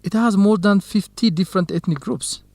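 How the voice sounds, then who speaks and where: An actor playing a kind, modest young researcher in an educational radio drama, speaking in measured, simple phrases. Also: male voice, high-pitched, vocal fry, a little mellow, dry recording. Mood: informative.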